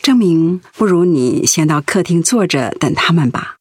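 An actress playing a devoted, anxious wife in a radio drama, speaking tenderly and earnestly with feeling. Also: female voice, fairly low pitch, animated, moderate pace, a little mellow, husky, dry recording, clean studio sound. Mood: caring. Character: devoted, anxious wife caring for her sick husband.

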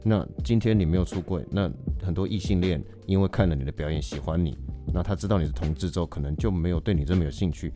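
A man's voice: low-pitched, fast talker, over music. A man with a Taiwanese Mandarin accent, talking casually as a podcast guest and explaining his views through examples. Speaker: guest, actor.

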